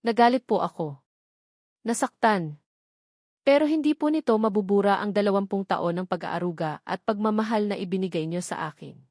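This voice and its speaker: A woman's voice: medium pitch, normal speed, darkish tone, dry recording, clean studio sound, lightly acted. Woman voicing a tender, forgiving young daughter. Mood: hurt, tender. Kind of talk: Tagalog romance audio drama.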